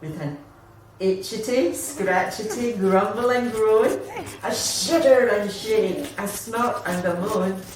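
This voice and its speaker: A woman storyteller reads a rhyming picture book with theatrical expression. Her pace is slow and her pitch is middling. She is heard from a little way off in a very echoey room. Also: expressive. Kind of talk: children's picture book read aloud in rhyme. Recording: echoey room, distant mic, faint noise.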